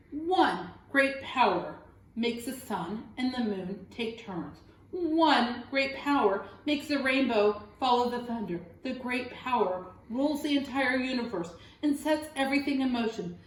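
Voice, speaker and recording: A woman teacher reading a children's story aloud with expressive, dramatic phrasing and questions to young listeners. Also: on the high side, reverberant, low-quality recording.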